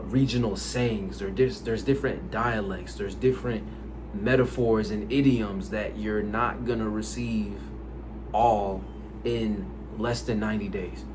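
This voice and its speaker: A man born in the United States, whose first language is Haitian Creole, talking casually to camera about language learning, rambling with lots of fillers. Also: lowish voice. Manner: rambling, filler-heavy, conversational. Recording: noisy background.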